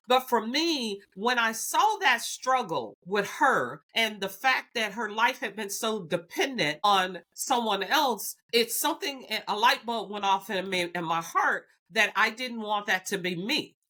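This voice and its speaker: Middle-aged Southern woman guest, earnest storyteller, bright tone, even pitch. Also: unhurried, few pauses. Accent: Southern US (Alabama). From Huntsville, Alabama. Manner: earnest, frequent restarts and fillers. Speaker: guest, executive. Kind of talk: candid personal storytelling.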